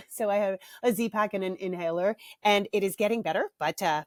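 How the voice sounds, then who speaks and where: A woman's voice: medium pitch, normal speed, no room sound, clean studio sound. A middle-aged woman hosting her own podcast in a candid, chatty way, laughing easily and with a slight cough. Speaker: podcast host and parenting author. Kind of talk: casual solo podcast chat.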